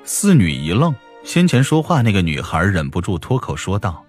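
Deep-voiced male audiobook storyteller. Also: wildly swooping pitch, normal speed, faint music behind. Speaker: audiobook narrator. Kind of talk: expressive scripted fiction narration.

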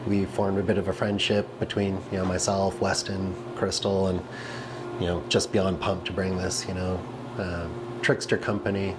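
Low-voiced man casually presenting products. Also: little pitch movement, moderate pace, background noise. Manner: conversational, peppered with "you know". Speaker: brand representative presenting products. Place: indoor showroom with wooden pallet wall.

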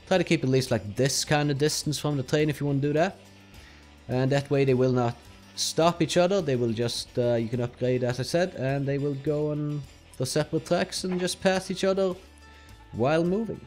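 A man's voice: medium pitch, moderate pace, soft background music. A young man from Norway with a Norwegian accent, speaking English as a second language while casually commenting on his gameplay step by step. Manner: relaxed, explaining step by step with fillers. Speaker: let's play host.

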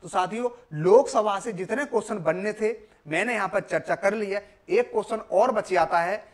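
A man's voice: sits high, normal speed. A young man from India teaching a lesson, repeating key points and posing questions that he answers himself. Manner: repetitive, emphatic, asks and answers own questions. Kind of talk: classroom lecture on a video lesson. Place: indoor classroom at a board.

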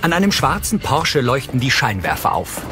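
A man reading scripted audio description for a TV crime drama, matter-of-fact and clearly articulated. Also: medium pitch, big pitch swings, normal speed. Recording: TV soundtrack playing underneath. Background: loud music and vehicle sounds.

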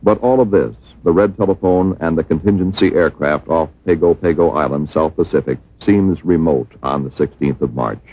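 An American man reading a scripted educational film narration in a measured, formal manner. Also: a bass voice. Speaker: documentary narrator. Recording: muffled, worn 1960s film soundtrack.

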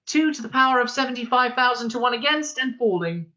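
A man voicing a calm, sensible female starship announcer in a storybook, pleasant and soothing, with measured stewardess-like phrasing. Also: extremely high pitch, pitched above the performer's own voice, full character voice. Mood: matter-of-fact.

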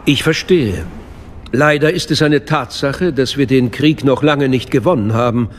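An actor playing a suave, scheming older male chancellor in a German radio drama, speaking in a measured, courtly, unctuous way. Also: medium pitch, a little hiss or hum, natural voice. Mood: courtly, calculating.

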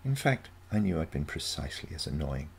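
A man's voice: very deep voice, very animated, brisk, creaky, a little hiss or hum. A man reading a scripted first-person short story as narrator. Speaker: story reader.

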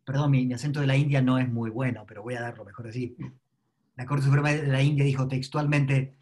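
A man's voice: mid-range voice, steady tone, brisk, a little mellow. A middle-aged man, speaking on an online panel in a wry, lecturing way. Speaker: seminar panelist. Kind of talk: online academic panel discussion. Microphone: laptop mic on video call.

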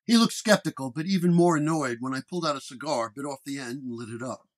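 A man's voice: mid-range voice, fast. A man narrating a first-person detective horror story in a dramatic storytelling manner. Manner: storytelling, acting out dialogue.

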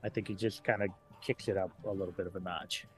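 A man's voice: low voice, steady tone, fast talker, soft background music. A man talking casually as a podcast guest, informal, with trailing asides. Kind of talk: casual chat.

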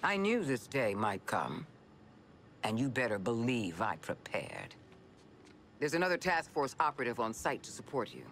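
An actor playing a stern government agency boss in a video game, speaking with cold authority. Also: male voice, medium pitch, huge pitch swings, moderate pace, a little hiss or hum. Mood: grim, commanding. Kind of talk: video game cutscene acting. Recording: loud music behind the voice.

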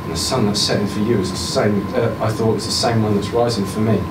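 A man's voice: steady tone, fast. Man reading a story aloud in a roomy bar. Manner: voices the woman's lines higher, shifts to quiet and low near the end. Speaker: story reader. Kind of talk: live reading of a short story. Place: small bar with audience, indoors. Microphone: stand mic, filmed from a far camera. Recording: roomy bar sound from a distance with background hum.

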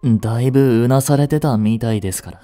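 A man's voice: medium pitch, a little fast, no room sound, faint music behind. A man playing a devoted young butler. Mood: tender. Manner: soft, gentle and intimate in the bedside scene; shouting and frantic while searching the fire. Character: devoted young male butler, protective and tender. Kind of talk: romantic voice drama acting.

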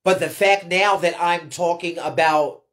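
A woman's voice: on the low side, fairly even intonation, unhurried. An American woman on an unscripted live stream, ranting bluntly and emphatically with heavy swearing and repeated phrases. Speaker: live streamer and ballroom scene commentator. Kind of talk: unscripted live rant answering viewer comments.

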